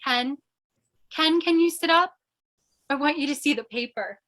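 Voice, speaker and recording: A woman playing an excitable, melodramatic young newspaper reporter in old-time radio style, with urgent, breathless exclamations. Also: high-pitched, moderate pace. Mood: excited, proud. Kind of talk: old-time radio comedy thriller acting.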